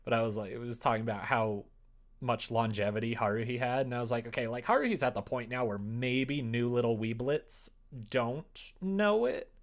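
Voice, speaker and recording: Young guy on a stream, gravelly fry in his voice, chatting casually while he works. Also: mid-range voice, expressive, normal speed. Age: young adult. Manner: muttering to himself while typing, then livelier replies. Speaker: livestreamer writing a visual novel. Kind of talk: casual chat with stream viewers while working. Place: indoor room at a computer desk.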